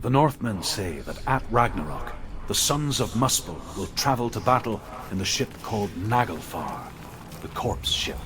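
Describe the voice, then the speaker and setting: An actor playing a grave storyteller of old Norse legends, narrating dramatically for a video game. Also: male voice, fairly low pitch, lively intonation, a little slow. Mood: grave, foreboding. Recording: noisy ambience behind voice.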